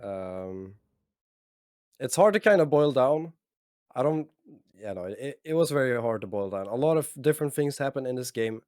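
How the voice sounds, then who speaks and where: A man casting esports, giving a casual, halting post-game analysis full of fillers. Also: medium pitch, lively intonation, a little mellow, no room sound, clean studio sound. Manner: hesitant, many fillers and restarts, measured. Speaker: esports caster.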